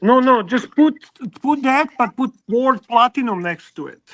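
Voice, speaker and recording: A man with a Serbian accent chatting casually about watches on a livestream, halting and restarting often. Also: extremely high pitch, expressive, no echo. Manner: halting, lots of restarts and filler.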